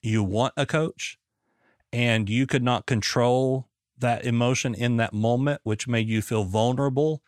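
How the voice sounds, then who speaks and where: Male coach, mid-pitched, measured and probing, dry close recording. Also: steady tone, no room sound. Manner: probing, measured, repeats questions. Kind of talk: coaching conversation.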